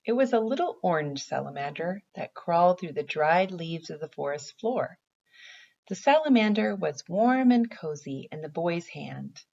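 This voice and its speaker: Low-pitched woman teacher, slightly muffled. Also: very animated, moderate pace, vocal fry, darkish tone. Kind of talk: story reading and informal lesson commentary.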